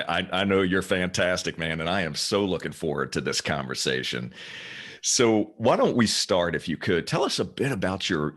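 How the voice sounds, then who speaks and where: A man hosting a business chat show, with a very deep voice that swings widely in pitch. He reads an upbeat introduction, then asks eager questions that trip over themselves. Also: fairly bright tone. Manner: enthusiastic, stumbles in questions. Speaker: podcast host. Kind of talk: scripted intro, then casual interviewing.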